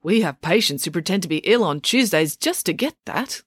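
A woman's voice: on the low side, expressive, breathy edge, dry recording, clean studio sound. Woman playing a doddering, deaf old doctor. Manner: slow, kindly, doddering. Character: very old deaf male country doctor, vague.

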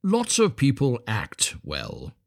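A man voicing a pompous, self-satisfied storybook miller who moralizes in drawn-out phrases. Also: medium pitch, wildly swooping pitch, gravelly, dry recording, studio quality, voice pushed up, exaggerated voice. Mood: smug. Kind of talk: storybook character voice.

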